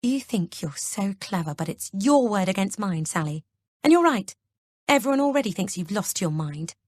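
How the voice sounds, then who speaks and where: A woman reading the part of a manipulative young woman who fakes tearful panic in a shrill, tremulous voice. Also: expressive, normal speed, darkish tone, dry recording, studio quality, close to an everyday voice. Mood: taunting.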